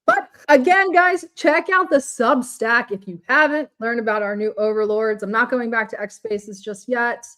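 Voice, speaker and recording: A woman's voice: fairly high pitch, normal speed, few pauses, a bit bright. A woman co-hosting a casual political talk show, bubbly and enthusiastic, often laughing. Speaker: co-host.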